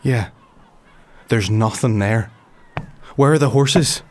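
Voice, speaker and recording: A man playing a watchful, level-headed outlaw lieutenant in a dark fantasy audio drama, terse and guarded. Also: medium pitch, takes it slowly, slight background noise, lightly acted. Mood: wary, urgent.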